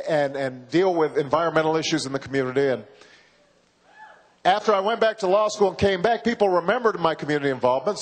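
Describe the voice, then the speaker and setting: A man in his forties giving a campaign speech, slow and halting with frequent pauses and repeated words. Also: high voice. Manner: halting, measured, with many uhs and repeated words. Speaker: political candidate. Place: large university hall. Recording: reverberant hall from a PA.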